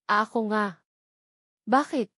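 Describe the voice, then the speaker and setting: A woman's voice: medium pitch, takes it slowly, a bit bright, dry recording, studio quality. A woman with a Filipino accent voicing a hurt, resolute young heroine in an audio story, earnest and guarded.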